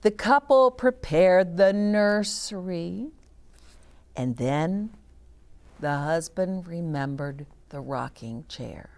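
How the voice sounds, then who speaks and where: A woman's voice: on the low side, expressive, takes it slowly, a little mellow. A woman reading a children's storybook aloud as a story time host, with short explanatory asides to young listeners.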